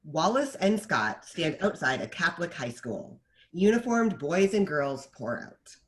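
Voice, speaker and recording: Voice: mid-range voice, very animated, a little slow. A narrator of unclear sex reading screenplay stage directions as scripted narration.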